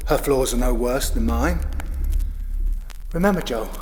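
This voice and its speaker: An actor playing an insecure boyfriend in an audio play, earnest, pleading and prone to over-explaining himself. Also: male voice, medium pitch, a little slow, a little mellow, a little hiss or hum, lightly acted. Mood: self-persuading. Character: insecure, apologetic, self-justifying boyfriend, eager to please. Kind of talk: audio play dialogue and monologue.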